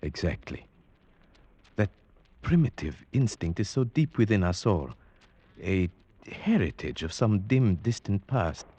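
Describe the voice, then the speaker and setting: A man playing a cultured, brooding huntsman who narrates gravely and formally in an old radio drama. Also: fairly low pitch, big pitch swings, a little slow, long pauses, dark tone, no echo, heavily acted. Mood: thoughtful, courteous. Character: cultured Slavic huntsman, brooding, at home in forests. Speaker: narrator and character. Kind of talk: 1940s-50s radio drama acting.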